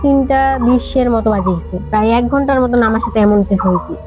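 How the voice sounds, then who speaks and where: Young female guest, Bangladeshi Bengali accent, high voice, halting conversational storytelling, clear music bed underneath. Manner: repetitive, halting phrasing in short bursts. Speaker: podcast guest. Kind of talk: personal ghost story told conversationally.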